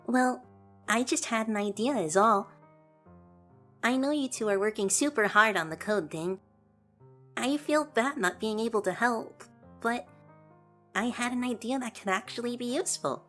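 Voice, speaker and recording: A woman voicing a gentle, earnest young prince who explains things patiently and is eager to help, in an audio drama. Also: a little high, normal speed, pauses often, faint music behind, natural voice. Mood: eager, modest. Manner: soft-hearted, patiently explaining.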